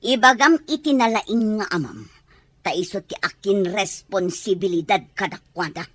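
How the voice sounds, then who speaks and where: A woman acting as a hard-hearted Ilocano mother in a radio drama. Her husky voice swings widely in pitch, and she talks in a brusque, dismissive, defiant way. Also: expressive, normal speed, no echo, lightly acted. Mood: irritated, defiant. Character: cold, defiant mother who abandoned her children.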